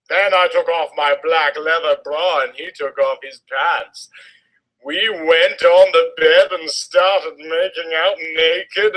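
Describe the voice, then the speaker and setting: A man reads a story aloud as if it were high drama. His voice is fairly high and very bright, with a forceful edge. He takes his time and overplays the lines theatrically. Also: low-quality recording. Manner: theatrical, overwrought delivery. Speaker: reader of a fan fiction story. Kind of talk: mock-dramatic story reading.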